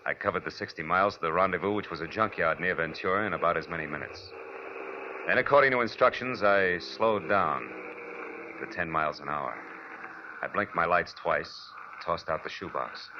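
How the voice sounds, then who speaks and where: A man's voice: low voice, moderate pace, background noise, close to an everyday voice. A man with an American accent playing a cynical, wisecracking private detective who narrates his own case in a 1940s radio drama. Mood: wry, driven. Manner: hardboiled, wry, slangy. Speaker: narrator and lead actor. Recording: muffled vintage radio broadcast with music.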